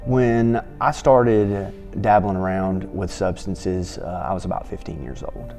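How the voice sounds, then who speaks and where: A man's voice: low voice, measured pace. A man from Georgia telling his recovery story earnestly. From Haralson County, Georgia. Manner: earnest, with fillers and restarts. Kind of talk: personal recovery testimonial. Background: soft music.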